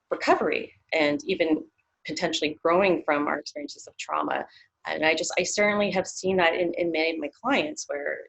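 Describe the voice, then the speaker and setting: A woman's voice: low-pitched, rough recording. A Canadian woman psychologist in her thirties, speaking as an interview guest in a thoughtful way, with many fillers and restarts.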